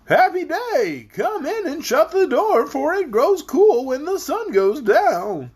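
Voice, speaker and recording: A woman voicing an eccentric, hospitable man from a storybook, with a wobbly, shaking voice and drawn-out exclamations. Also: high-pitched, very animated, a little mellow, pitched above the performer's own voice, exaggerated voice. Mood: welcoming. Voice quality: wobbly, shaky, produced by shaking the head. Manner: drawn-out, exclamatory, self-important.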